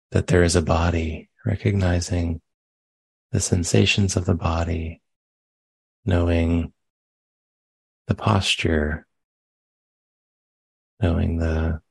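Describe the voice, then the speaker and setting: A man leading a guided meditation as a teacher, speaking gently and unhurriedly with long pauses. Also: deep voice, vocal fry, breathy edge, no room sound.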